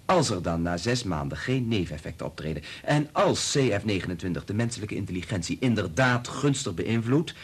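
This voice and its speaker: An actor in a Dutch radio play playing a principled research doctor who is firm, emphatic and quick to anger. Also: male voice, fairly low pitch, slightly rough. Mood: earnest, measured. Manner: emphatic, argumentative, swearing.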